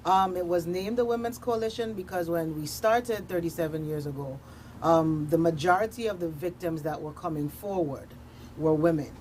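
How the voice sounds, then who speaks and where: Low-voiced woman, emphatic advocate. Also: moderate pace, darkish tone, slight background noise. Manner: emphatic, listing points in parallel phrases. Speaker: victim advocate, interview guest. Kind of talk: explanatory radio interview talk.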